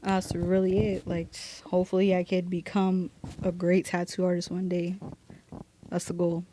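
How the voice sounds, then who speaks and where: A woman's voice: lowish voice, completely flat delivery, normal speed, noisy background. A woman guest, a tattoo artist from the US West Coast, chatting in a joking, slangy way. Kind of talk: casual chat.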